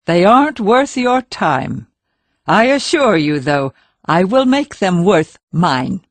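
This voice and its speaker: A woman's voice: mid-range voice, sing-song, takes it slowly, dry recording. A woman playing a tough, commanding village leader, firm and coolly threatening, in a fantasy audiobook. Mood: cool threat. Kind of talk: full-cast fantasy audiobook acting.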